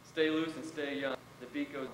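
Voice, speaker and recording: A man's voice: on the high side, little pitch movement, normal speed, close to an everyday voice. A man performing a stage reading as a weary, wry young American soldier writing home. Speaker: readers theater performer. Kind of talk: dramatic reading of war letters. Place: college theater stage. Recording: echoey hall from afar, noisy.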